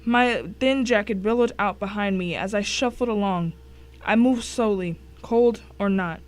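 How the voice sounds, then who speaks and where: A woman's voice: mid-range voice, a little slow, close to an everyday voice. A woman playing a tense first-person narrator in a dramatic suspense story. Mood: grim, suspenseful.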